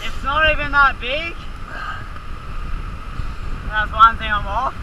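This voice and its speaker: A man's voice: very high voice, takes it slowly. A young man on ocean rocks, shaken and laughing after nearly being washed away while fishing. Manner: shaken, drawling, laughing, swearing. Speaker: fisherman. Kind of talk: casual chat. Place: ocean rocks outdoors, crashing surf. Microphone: friend's action camera. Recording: wind and surf noise, off-mic.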